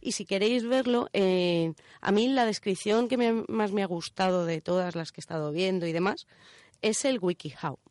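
A woman with an accent from Spain chats in a dry studio sound at an average pitch. She explains in a casual, step-by-step way, tossing in little check-in questions as a radio contributor would. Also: moderate pace, a little mellow, no room sound. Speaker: radio show contributor presenting a section.

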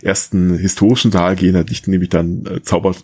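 Guy, really deep husky voice, podcast expert explaining stuff, stops and starts hunting for words. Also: little pitch movement, warm tone. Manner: halting, searching for words, hesitant phrasing. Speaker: expert guest, archaeologist. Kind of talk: conversational explanation in a podcast interview.

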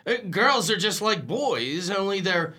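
A man's voice: sits high, very animated, measured pace, pushed and bright, exaggerated voice. An actor playing a bumbling middle-aged working-class father in a radio comedy, stammering and folksy, easily flustered. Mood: flustered persuading. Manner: stammering, folksy ungrammatical speech. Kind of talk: old-time radio situation comedy acting.

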